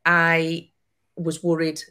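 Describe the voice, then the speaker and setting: A woman's voice: deep voice, monotone, slow pace, sharp tone, smooth. A woman with a broad Lancashire accent, a podcast guest giving encouraging, emphatic advice. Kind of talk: podcast interview.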